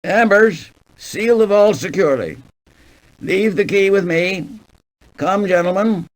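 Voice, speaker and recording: An actor playing the solemn master of a gothic household in a horror game, formal, imperious and theatrical. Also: male voice, high voice, a little slow, rough recording. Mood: solemn, commanding.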